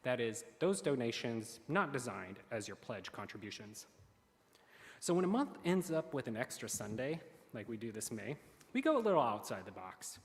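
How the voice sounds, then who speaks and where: A man reading the offering announcements at a church service. He speaks earnestly and carefully at a middling pitch. His voice carries a long reverb from the sanctuary. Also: big pitch swings, moderate pace. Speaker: worship service lay leader.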